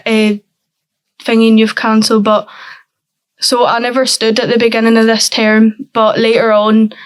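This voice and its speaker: A woman's voice: a little high, no room sound, poor audio. A teenage girl, a youth councillor answering interview questions hesitantly, with many ums. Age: teens.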